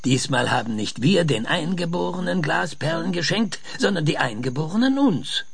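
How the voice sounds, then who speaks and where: An actor playing an impatient, sarcastic older scientist in a German radio play, lecturing emphatically with rhetorical questions. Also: male voice, fairly high pitch, big pitch swings, moderate pace, creaky, husky, no room sound, heavily acted. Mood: insistent.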